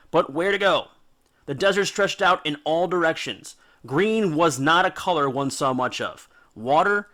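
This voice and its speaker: A man's voice: a little high, pushed and bright. A man narrating a scripted myth in a colloquial, theatrical and playful style, as the host of an educational series. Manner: theatrical, playful, punchy delivery with dramatic pauses.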